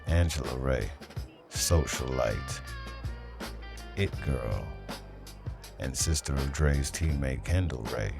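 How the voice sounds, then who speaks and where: A man playing a hard-boiled noir detective in an audio drama, narrating his case in a brooding, terse, deliberate way. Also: rumbling low, lively intonation, full character voice. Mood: brooding, suspicious. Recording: loud music bed behind the voice.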